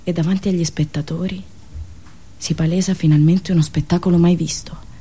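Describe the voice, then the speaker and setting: A woman performing a satirical storytelling monologue, dramatic and ironic, with pauses and drawn-out words. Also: fairly low pitch, steady tone, warm tone, husky. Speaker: radio host and monologist.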